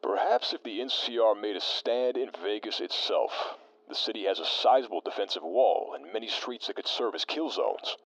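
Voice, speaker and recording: Man as a formal, stilted tactician co-host, low voice, dry muffled sound. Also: moderate pace, a bit bright, no echo. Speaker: radio co-host.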